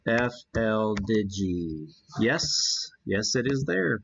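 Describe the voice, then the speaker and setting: A man talks casually as he hosts a live demonstration. His delivery is slow and halting, as if working things out aloud, with a folksy, self-deprecating manner. The recording is somewhat dull and lo-fi. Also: fairly low pitch, expressive, vocal fry, a bit bright, slightly rough. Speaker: host of a live ham radio demonstration. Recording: dull, muffled lo-fi sound.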